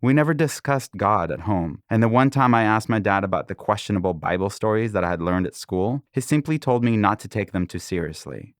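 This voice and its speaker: A man in his forties, born in Israel, calmly reading aloud from his own book as a podcast host, with an occasional soft chuckle. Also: lowish voice, no room sound, studio quality.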